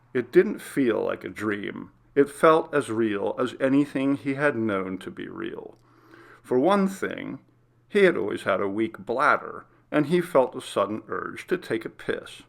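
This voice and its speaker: A man's voice: fairly low pitch, creaky. A man reading a scripted horror story as its narrator.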